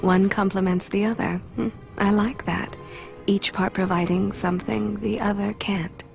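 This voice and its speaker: A woman acting a creaky-voiced, composed woman. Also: mid-range voice, steady tone, moderate pace, lightly acted. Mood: musing, appreciative. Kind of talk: dubbed video game cutscene acting. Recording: music behind the voice.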